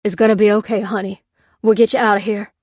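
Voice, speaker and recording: A woman's voice: mid-range voice, steady tone, normal speed, a little mellow, no echo, studio quality, natural voice. A woman voicing a distraught farmer husband in an audiobook, pleading in a trembling, choked voice. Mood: desperate, pleading.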